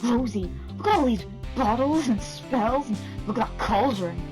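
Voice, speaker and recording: A woman's voice: on the high side, animated, moderate pace, music in the background, lightly acted. A woman voicing a bouncy, impatient boy puppet in a children's puppet play. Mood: curious.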